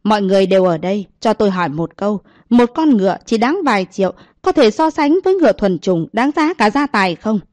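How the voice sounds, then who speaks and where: Woman doing a smug young man, loud sneering questions, storybook villain. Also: mid-range voice, rapid-fire, few pauses, dry recording, studio quality, close to an everyday voice.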